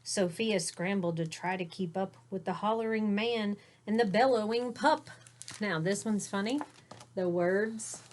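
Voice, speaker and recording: A woman with a very unhurried delivery and a medium-pitched voice reads a picture book aloud. She sounds like a teacher addressing young children, phrasing expressively and explaining things in simple words. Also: darkish tone, a little hiss or hum. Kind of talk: storybook read-aloud with explanatory asides.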